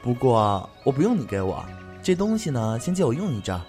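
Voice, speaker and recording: A man's voice: mid-range voice, big pitch swings, a little fast, a little mellow, soft background music, natural voice. An actor playing a cheerful, easygoing young man from a clan who chats playfully in a fan audio drama. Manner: chatty, playful.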